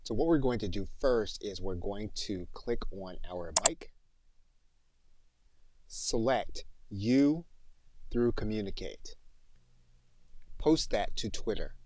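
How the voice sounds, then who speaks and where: A man narrating a step-by-step software tutorial in an orderly, instructional way. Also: on the low side, animated, slow pace, pauses often, a little hiss or hum, rough recording.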